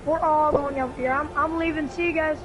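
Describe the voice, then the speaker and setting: High-pitched young gamer voice, noisy mic. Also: fairly even intonation, brisk. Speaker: video game player talking with teammates. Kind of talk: casual multiplayer gaming chatter, callouts to teammates. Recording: clearly noisy gaming mic recording.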